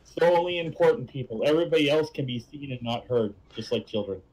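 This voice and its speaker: A man's voice: mid-range voice, animated, fast, darkish tone, low-quality recording. A man chatting casually as a co-commentator on a game stream, dropping short joking asides. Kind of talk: casual chat. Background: background music.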